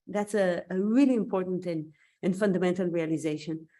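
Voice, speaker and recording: A woman's voice: fairly low pitch, brisk, dark tone. A woman from Israel whose native language is Hebrew, giving a thoughtful online seminar talk over a video call while recovering from an illness, with an occasional cough. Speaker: invited scientist speaker.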